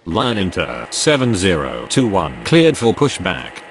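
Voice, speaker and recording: A deep-voiced airport radio operator played by a man in a flight control game. The clipped, formal phrases swing widely in pitch, with faint noise behind. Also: huge pitch swings, unhurried, exaggerated voice. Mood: businesslike. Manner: formal, procedural. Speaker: air traffic radio voice.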